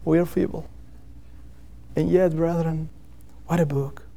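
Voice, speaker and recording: A man's voice: a little high, fairly even intonation, gravelly, warm tone. A man from Catalonia with a Catalan accent preaches a sermon in English as a second language, earnestly repeating key phrases for emphasis. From Catalonia, Spain. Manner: earnest, rhetorical repetition with deliberate emphatic phrases. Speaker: missionary preacher.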